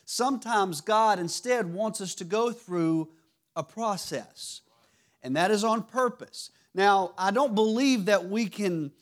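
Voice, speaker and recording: A man with a raspy voice giving a church teaching sermon, halting and restarting often. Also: high voice. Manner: halting, many restarts and fillers. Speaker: lay preacher. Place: church sanctuary.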